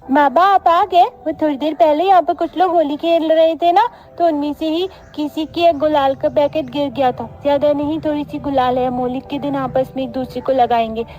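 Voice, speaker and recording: A woman's voice: high-pitched, steady tone, moderate pace, hardly any pauses, darkish tone, lightly acted. A woman voicing an innocent, plaintive small child from a poor family in a cartoon story. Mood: innocent, hopeful. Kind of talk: Hindi cartoon story voice acting.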